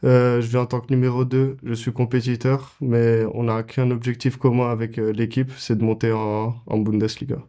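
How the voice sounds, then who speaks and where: A man's voice: mid-range voice, flat delivery, moderate pace, few pauses, dark tone. A man in his twenties, a goalkeeper answering interview questions casually, with many fillers and restarts. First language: French. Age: 20s.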